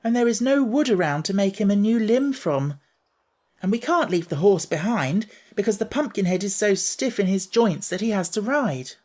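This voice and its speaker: A woman's voice: mid-range voice, expressive, normal speed, mellow, voice pushed up, lightly acted. Woman doing an eager young boy's voice. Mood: earnest.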